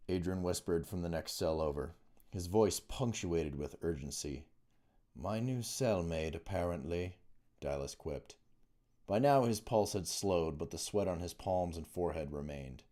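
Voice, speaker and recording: A man narrates a fantasy novel in a low register. His tone is mellow and soft-edged, delivered as scripted storytelling by an author. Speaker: author reading own book. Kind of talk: audiobook narration of fantasy fiction.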